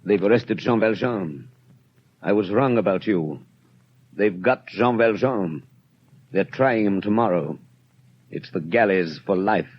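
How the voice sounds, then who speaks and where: A man playing a slow, blunt police inspector. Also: fairly low pitch, natural voice. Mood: grim. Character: stern male police inspector, relentless. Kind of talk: 1930s radio drama acting. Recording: dull lo-fi 1930s radio broadcast, faint hiss.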